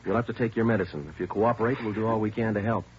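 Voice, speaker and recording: An actor playing a terse police detective interrogating suspects in a 1950s radio drama, clipped and matter-of-fact. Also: male voice, on the low side, fairly even intonation, rapid-fire, lightly acted. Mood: firm. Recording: dull old radio broadcast with faint hiss.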